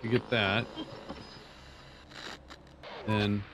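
An older man streaming a strategy game from his home, talking to himself in quiet, low-key asides. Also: little pitch movement, very slow, background noise. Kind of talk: casual let's play commentary. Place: home room, seated in a dark chair.

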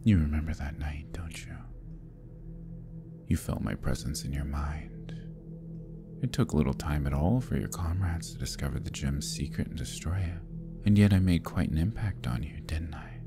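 A man voicing an arrogant, scheming Demon King who taunts and menaces in an ASMR roleplay, often dropping to a husky whisper. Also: rumbling low, normal speed, music in the background. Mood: menacing. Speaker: voice actor.

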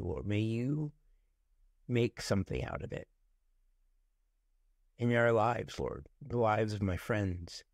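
A man in his forties, a pastor, speaking gently and reflectively into a webcam at home, leading into a prayer. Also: fairly low pitch, creaky, no echo, studio quality. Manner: gentle, unhurried, warmly reflective. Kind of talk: prayerful devotional talk. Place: home room with ceiling fan. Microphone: webcam close up, looking up from below.

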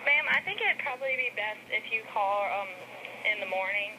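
A woman's voice: mid-range voice, expressive, brisk. Polite woman on a noisy phone line. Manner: polite, apologetic. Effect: telephone. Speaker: sandwich shop employee taking a call. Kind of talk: customer service phone talk. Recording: noisy speakerphone call.

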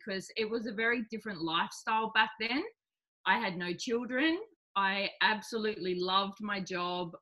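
A woman in her forties with a slightly croaky voice, chatting openly and self-deprecatingly about her life on a video call from a home office. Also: medium pitch, sharp tone, poor audio. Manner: open, chatty, self-deprecating, laughs easily. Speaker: guest speaker. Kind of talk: casual personal story on a video call. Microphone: laptop webcam on a video call.

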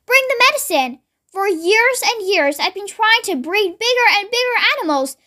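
A woman voicing an excitable small father who yells and exclaims, in a storybook reading. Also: extremely high pitch, a little slow, full character voice. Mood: thrilled. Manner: exclaiming, yelling. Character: excitable small man, a father easily worked up.

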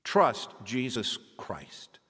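Earnest, animated missionary man. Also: mid-range voice, extremely slow, husky, in a large hall, full character voice. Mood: earnest, exhorting. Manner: earnest, preachy. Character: earnest reformed man turned missionary, telling his testimony. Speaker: lead actor and narrator in a radio drama. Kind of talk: dramatized first-person testimony, radio drama narration.

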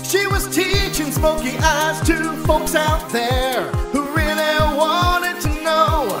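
A woman's voice: sits high, takes it slowly. A woman singing a narrative pop theme song. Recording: roomy echo on vocals. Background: loud pop music.

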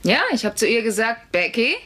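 A woman's voice: on the high side, lively intonation, a little fast, heavy background noise, close to an everyday voice. An actress playing a wry, sarcastic working-class mother in a dubbed sitcom. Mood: wry, matter-of-fact. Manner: dry, sarcastic. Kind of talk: German sitcom dubbing.

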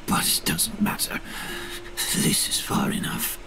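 A man playing a weakened, despairing older man in a video game, halting and breathy. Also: music in the background, lightly acted, rough recording. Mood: weary resignation.

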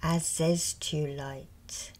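A middle-aged woman, a reiki healer, speaking softly and slowly in a partly whispered show and tell, a little hoarse from a cold. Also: deep voice, fairly even intonation, a little hiss or hum. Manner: slow, soft, partly whispered. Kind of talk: soft-spoken ASMR show and tell. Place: indoors at a table. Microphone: close mic over a tabletop.

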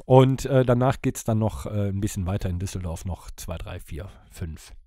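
A man's voice: lowish voice, moderate pace, warm tone, no echo, studio quality. A man co-hosting a podcast in casual, joking chat. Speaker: podcast co-host.

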